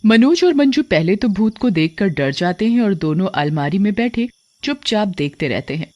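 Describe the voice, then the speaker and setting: Female storyteller, scripted cartoon narration, mid-pitched voice, dry recording. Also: animated, normal speed, a little mellow, no echo, highs cut off.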